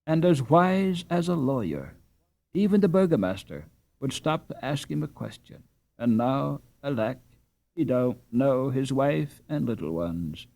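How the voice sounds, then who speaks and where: A man's voice: mid-range voice, big pitch swings, measured pace, creaky, warm tone. A man voicing a careworn, loving peasant mother in a storybook, with a plaintive, folksy, exclamatory way of talking. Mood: wistful.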